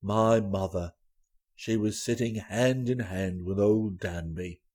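Male reader as young gentleman in love, lowered pitch, breezy, dreamy, self-satisfied, storybook character. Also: takes it slowly. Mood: blissful. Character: elated, breezy young upper-class man in love.

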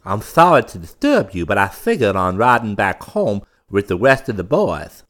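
A man voicing a nervous, fawning young man who stammers, pushing his voice high and eager. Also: sing-song, normal speed, fairly bright tone.

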